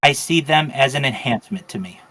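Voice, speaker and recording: A man who is a rabbi and a native English speaker, giving an earnest interview answer in a measured way with frequent uh and um fillers. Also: medium pitch, lively intonation, sharp tone.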